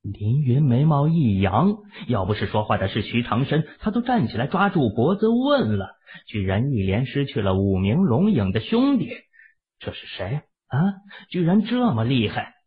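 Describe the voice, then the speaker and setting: A man's voice: medium pitch, big pitch swings, moderate pace, husky. A man narrating a novel expressively as an audiobook reader. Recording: muffled, phone-like narrow sound.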